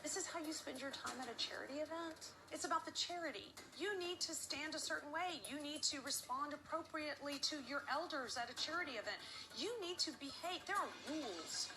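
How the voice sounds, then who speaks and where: A woman with a high voice, talking down to others and laying out rules of manners. Music runs under her voice. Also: fairly quick. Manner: condescending, lecturing. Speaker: reality TV cast member.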